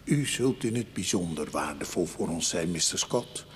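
An actor playing a formal, courteous committee chairman in a radio drama, with a very husky voice and pompous, measured delivery. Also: low-pitched, animated, a little hiss or hum. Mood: formal welcome. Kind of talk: Dutch radio drama acting.

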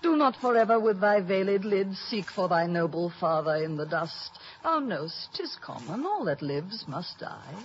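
A woman's voice: mid-range voice, very animated, unhurried, hardly any pauses. A woman playing a mature, earnest queen and mother in stately 1950s radio Shakespeare. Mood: earnest pleading. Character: Queen Gertrude, mature royal mother pleading gently. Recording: muffled, faintly noisy old radio broadcast.

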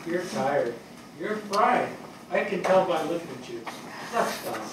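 An actor as an older fire captain reminisces on stage in a conversational, affectionate way. His voice sits slightly high for a man. It comes from far off in a very echoey theatre, with faint noise. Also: expressive. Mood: concerned. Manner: conversational, storytelling, with pauses and repeats. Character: weary, affectionate fire captain reminiscing about lost men. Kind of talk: dramatic stage reading. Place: small theatre stage before an audience.

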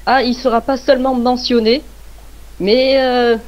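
A woman's voice: fairly high pitch, little pitch movement, a little slow. French woman on muffled lo-fi audio. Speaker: co-host. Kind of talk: casual fan livestream chat.

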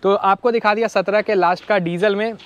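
A man's voice: high voice, steady tone, a little fast, no echo, highs cut off. A young man, hosting a casual walkaround of cars on an outdoor lot, chatty and mixing in English phrases, on a lapel mic. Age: young adult. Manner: chatty, mixes English phrases, addresses viewers as brothers. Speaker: car vlogger host. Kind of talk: casual walkaround car showcase. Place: outdoor used-car lot. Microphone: clip-on lapel mic.

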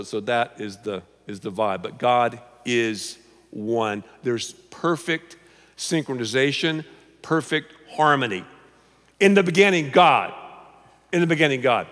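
An older man preaching a sermon on a large church stage, animated and playful, often dropping to a low confiding tone. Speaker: pastor. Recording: long reverb of a big hall.

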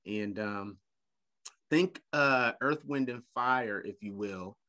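A middle-aged man speaks from a room at home over a video call. His voice has a bright, ringing edge. He is animated and playful, repeating words as he goes. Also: lowish voice, slow. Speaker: guest. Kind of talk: casual video-call interview. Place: home room with leather couch.

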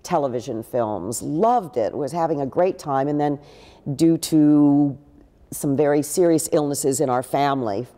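An older actress telling stories in a seated interview, with a deep, big voice and lively gestures. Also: female voice, measured pace, big-room sound. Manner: expressive, gestures a lot while talking. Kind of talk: animated interview storytelling. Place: living room with a pool table, indoors.

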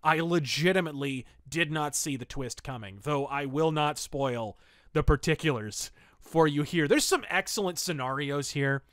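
A man's voice: fairly high pitch, normal speed, fairly bright tone, no room sound, studio quality. A man hosting a review podcast, talking casually and enthusiastically with emphatic, colloquial storytelling. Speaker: host of a pulp fiction review podcast.

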